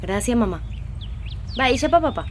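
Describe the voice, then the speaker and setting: Woman acting farmer's grown kid, paraguayan, highish voice, radio soap, faint birdsong noise. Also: big pitch swings, normal speed. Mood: questioning. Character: grown child questioning the father about the growers.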